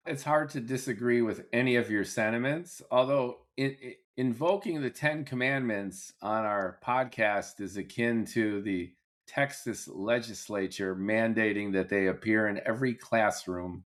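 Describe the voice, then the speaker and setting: A man's voice: on the low side, fairly bright tone. Reflective man hosting a podcast. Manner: reflective, wordy, drawn-out phrasing. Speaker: podcast host. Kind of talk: casual political debate.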